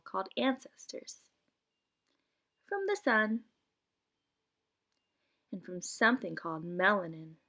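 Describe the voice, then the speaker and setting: A woman reads to young children in the manner of a preschool teacher. Her mid-pitched voice rises and falls with lots of variety as she explains and poses questions from a picture book. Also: fairly bright tone. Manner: clear explanatory reading with questions posed to children. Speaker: preschool teacher reading aloud. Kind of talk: children's nonfiction picture book read-aloud.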